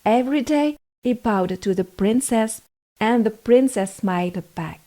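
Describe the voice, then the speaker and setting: A woman narrating a scripted fairy tale for children in an expressive, deliberate storytelling manner. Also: mid-range voice.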